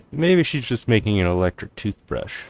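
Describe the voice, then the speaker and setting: A man giving casual, laid-back let's-play commentary as a video game streamer. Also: lowish voice.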